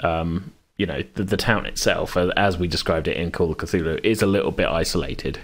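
A man's voice: deep voice, a little fast, fairly bright tone. A man chatting casually as a podcast host, rambling and often interrupting himself. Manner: rambling, self-interrupting, hedging. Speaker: podcast host and game master. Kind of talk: casual chat.

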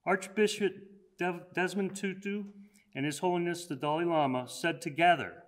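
An older man speaks at a college podium, giving a prepared commencement address in an earnest, measured way. His voice sits somewhat high for a man. He slows right down to stress the lines he wants to land.

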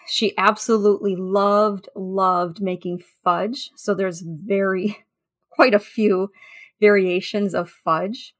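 A woman speaks as a guest on a podcast, in a medium-pitched voice. She tells anecdotes conversationally, feeling her way through sentences with small hesitations. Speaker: interview guest, food history researcher.

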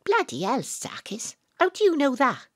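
A woman's voice: high-pitched, wildly swooping pitch, normal speed, no room sound. Dramatic young Welsh woman investigator, dry sound. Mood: agitated, earnest. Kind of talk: audio drama narration and dialogue.

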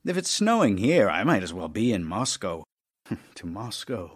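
A man playing an ailing, lonely middle-aged writer in an audio drama, wry and tender toward his wife, gently chiding and wistful. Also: fairly low pitch, very animated, moderate pace, breathy edge. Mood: wry, longing. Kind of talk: audio drama acting, reading love letters.

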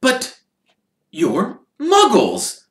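A man voicing an excitable, kindly middle-aged father who gets indignant and enthusiastic, read in an echoey room. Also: on the high side, slow, recorded from a distance, pitched above the performer's own voice, full character voice. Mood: excited.

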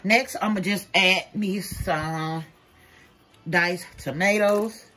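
A woman's voice: on the low side, slow pace, sharp tone, a little hiss or hum. Chatty woman cooking at home. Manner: chatty, folksy, talks to viewers as y'all. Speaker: vlogger. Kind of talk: casual cook-with-me vlog. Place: home kitchen, indoors. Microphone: camera held by someone else.

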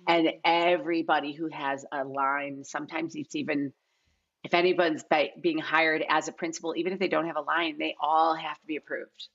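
A middle-aged woman with a notably low voice speaks casually in an interview. She circles back and repeats phrases for emphasis. The sound is a little dull and lo-fi, like a video call. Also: level tone, moderate pace, fairly bright tone, slightly rough. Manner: informal, repeats herself for emphasis. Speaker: casting director, podcast guest. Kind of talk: casual podcast interview talk. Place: large living room at home.

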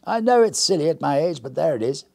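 A man voicing a cheerful, jolly middle-aged gentleman who laughs and exclaims heartily in a storybook reading. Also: a little high, expressive, fast, creaky, pitched above the performer's own voice. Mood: delighted.

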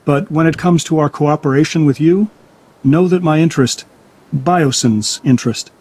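An actor playing a wealthy, smug biotech scientist in a video game, grandiose and self-assured, enthralled by dinosaurs. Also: male voice, a little high, moderate pace, noisy background, lightly acted. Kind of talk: video game cutscene acting.

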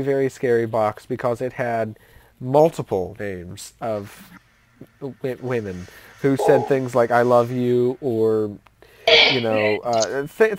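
A woman's voice: a bass voice, dark tone, a little hiss or hum. A young woman hosting a casual voice-chat story session, telling a story with many fillers and restarts. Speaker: host of a story-time voice chat. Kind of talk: casual storytelling over voice chat while gaming.

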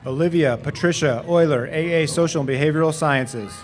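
A man announcing graduates' names and degrees at an outdoor commencement in a steady, formal way over a podium microphone. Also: fairly high pitch, fairly quick, slight background noise. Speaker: graduation name reader. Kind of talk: reading graduate names at a ceremony. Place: outdoor stadium commencement stage.

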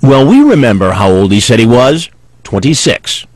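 A man's voice: medium pitch, very animated, normal speed, darkish tone. Wry male TV voice-over narrator. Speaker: narrator of a TV investigative program. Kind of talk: scripted narration.

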